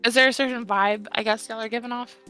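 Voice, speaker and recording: A woman's voice: on the high side, steady tone, fast, over music. A woman chatting casually on a livestream, inquisitive and blunt in her questions. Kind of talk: casual chat.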